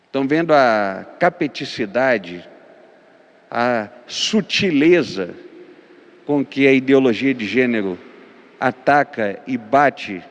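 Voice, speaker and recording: Pastor teaching in a reverberant hall. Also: male voice, medium pitch, animated, takes it slowly, muffled recording. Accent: Brazilian Portuguese, Minas Gerais. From Brazil. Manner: hesitant, many fillers and repeated words, then emphatic listing. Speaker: pastor and theology lecturer. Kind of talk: lecture-style teaching talk.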